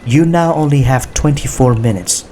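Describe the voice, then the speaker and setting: A man voicing a firm, commanding female Prime Minister in an audiobook, speaking with measured authority. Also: medium pitch, dark tone, slight background noise, voice pushed up, close to an everyday voice. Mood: cold, stern. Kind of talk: audiobook character dialogue.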